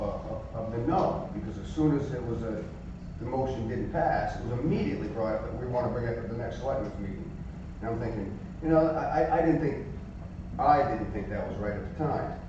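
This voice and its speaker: A man's voice: mid-range voice, noisy background. A man speaking as a resident at a town meeting, insistent, stumbling and repeating himself. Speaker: resident speaking at public comment. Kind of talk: public comment at town meeting. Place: town office meeting room. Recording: echoey hall, distant mic, dull.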